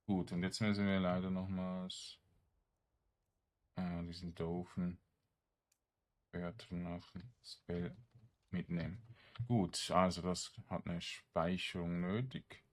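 Low male voice, unhurried pace, casual let's play commentary, halting short phrases. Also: steady tone. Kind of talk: casual gameplay commentary, reading item text aloud.

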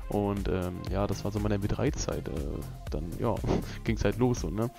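Young male gaming YouTuber, relaxed and rambling, slightly low voice over a music bed. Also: normal speed, vocal fry. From Berlin, Germany. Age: young adult. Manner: rambling, laid-back, lots of fillers and laughs. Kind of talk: casual gameplay commentary vlog. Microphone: voice-over over gameplay.

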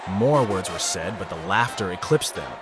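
A man's voice: low voice, expressive, normal speed, music in the background, a crowd in the background. A man reading scripted first-person narration in a wry, exasperated manner, as narrator of a voiced visual novel. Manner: wry, exasperated, sweary teenage protagonist.

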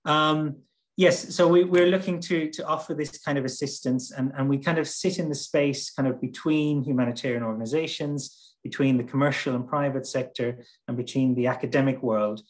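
Man, conference talk, video call mic, conversational, filler-heavy, mid pitch. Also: fairly even intonation, moderate pace. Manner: conversational, many fillers and restarts. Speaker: presenter from a humanitarian logistics nonprofit. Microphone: computer mic on a video call.

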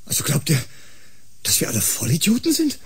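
Agitated, raspy, high-voiced subordinate. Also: male voice, huge pitch swings, a little fast, fairly bright tone, no echo, faint music behind, exaggerated voice. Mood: indignant. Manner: agitated, affronted. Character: indignant, defensive male subordinate under accusation. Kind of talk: German radio drama acting.

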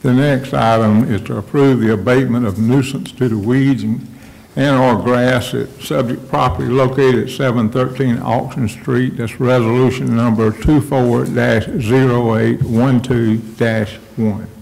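A man's voice: medium pitch, steady tone, a little slow, gravelly, darkish tone, rough recording. A man acting as mayor, reading agenda items into a desk mic in a council chamber, a little flustered at times. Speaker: mayor chairing a council meeting. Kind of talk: chairing a public meeting.

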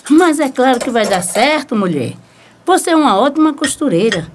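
Confident brazilian seamstress in a radio soap, highish voice, dishes clinking behind her. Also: sing-song, moderate pace, a little hiss or hum. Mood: persuasive. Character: confident, persuasive seamstress planning a business. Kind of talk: radio soap opera acting.

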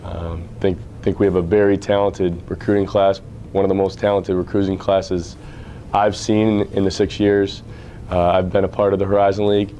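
A low-voiced American man in his thirties speaks with an even, mellow delivery. He sounds like a coach in an interview, measured and earnest, with steady room noise behind him. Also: highs cut off. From Illinois, United States. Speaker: assistant basketball coach. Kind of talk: on-camera interview about his career. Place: indoor athletics office, seated before camera.

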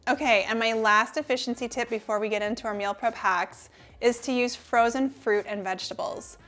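A woman's voice: on the high side, fairly even intonation, normal speed, a bit bright. A woman in her thirties hosting a cooking channel, talking through kitchen tips to camera in an upbeat, friendly way from her home kitchen.

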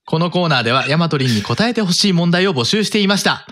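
A man's voice: on the high side, expressive, quick pace, a bit bright. A man in his thirties co-hosting a podcast, giving a review and reading out a quiz. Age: 30s. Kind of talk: casual podcast chat.

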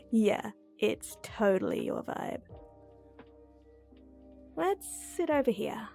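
Woman acting playful young woman, slow, soft-edged, flustered. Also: a little high, expressive, vocal fry, faint music behind, heavily acted. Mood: cheerful, giggly. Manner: teasing, giggly, hesitant. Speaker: voice actor in an audio roleplay. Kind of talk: intimate one-sided ASMR-style roleplay acting.

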